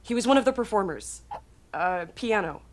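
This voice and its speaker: A woman playing an anxious, devoted grown daughter in a naturalistic drama, casual, earnest and halting. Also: medium pitch, animated, a little hiss or hum, lightly acted. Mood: anxious, frustrated.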